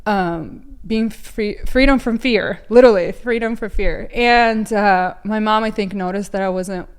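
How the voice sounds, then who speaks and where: A woman's voice: mid-range voice, unhurried, clean tone. A woman from Ukraine sharing her personal testimony as a guest, telling it with emotion and many fillers and restarts. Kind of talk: personal testimony in a faith podcast.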